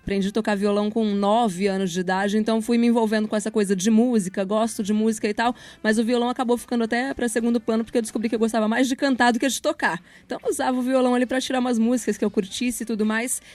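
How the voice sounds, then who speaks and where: A woman's voice: a little high, steady tone, racing, hardly any pauses, darkish tone. A woman, speaking articulately and informally as a guest in a conversational interview. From Paraná, Brazil. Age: 30s. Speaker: interview guest, radio announcer and journalist.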